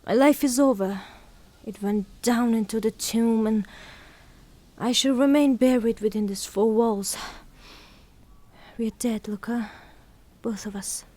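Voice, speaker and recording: An actress playing a proud, grieving young widow, stubbornly faithful and melodramatic, in a theatrical audio drama. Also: female voice, fairly high pitch, measured pace, warm tone. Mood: mournful, resigned.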